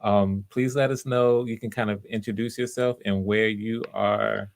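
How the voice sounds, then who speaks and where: A man with a low voice hosts a live audition session. He coaches casually and encouragingly, often stopping to restart or repeat a phrase as he gives his notes. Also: fairly even intonation, normal speed, vocal fry, slight background noise. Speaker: host of live voice auditions, director giving notes.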